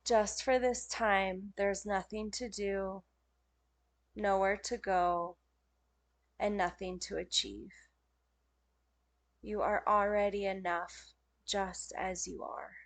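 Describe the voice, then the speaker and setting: Slow-paced woman guiding meditation. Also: mid-range voice, fairly even intonation. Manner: gentle, unhurried, soothing instruction with pauses. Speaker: meditation teacher. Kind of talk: guided yoga nidra meditation.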